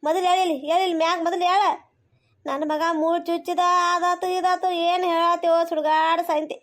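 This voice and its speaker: Cartoon comedy: bossy village mother-in-law played by a woman, piercingly high, North Karnataka accent, nagging and baffled. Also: level tone, fairly quick. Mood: exasperated, baffled. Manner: blunt, nagging, repeats questions.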